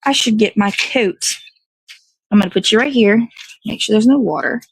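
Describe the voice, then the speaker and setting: A woman's voice: mid-range voice, moderate pace, husky, poor audio. A woman, hosting a casual, rambling livestream in a playful, slangy, easygoing way. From American South. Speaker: host of a morning livestream show.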